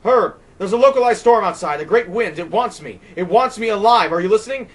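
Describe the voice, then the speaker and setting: A man's voice: animated, normal speed, recorded from a distance, lightly acted. A man voicing a frantic, terrified man who rages and pleads against the wind. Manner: shrill, shouting, panicked.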